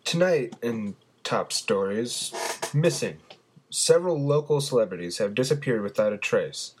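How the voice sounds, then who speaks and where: A man's voice: mid-range voice, animated, unhurried, darkish tone, slight background noise, close to an everyday voice. A man playing a solemn, formal local news anchor reading headlines in an audio drama. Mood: solemn, announcing. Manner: formal, broadcast delivery.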